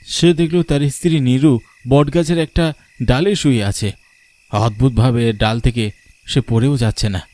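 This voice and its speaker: A man's voice: mid-range voice, steady tone, a little slow, long pauses, darkish tone. A man narrating a scripted cartoon folk tale as a dramatic storyteller. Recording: music behind the voice.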